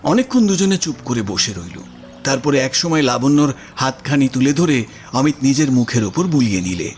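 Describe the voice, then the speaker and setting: A man's voice: medium pitch, big pitch swings, normal speed, darkish tone, soft background music. A man narrating a literary audio story in a scripted storytelling style.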